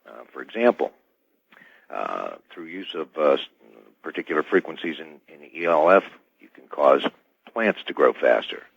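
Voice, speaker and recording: A man on the telephone in a radio discussion, speaking in halting, formal technical language through muffled audio. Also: rumbling low, big pitch swings, creaky, husky, no echo. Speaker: guest caller.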